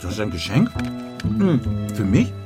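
A gruff but fond dad and hotelkeeper in a children's radio drama. He talks casually and matter-of-factly with lively intonation over background music. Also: mid-range voice, a little slow. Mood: touched.

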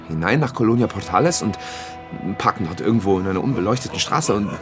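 A man's voice: lowish voice, expressive, quick pace, music in the background, natural voice. An actor playing a young man who bluntly retells a brutal story about his girlfriend, in coarse words. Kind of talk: radio drama monologue.

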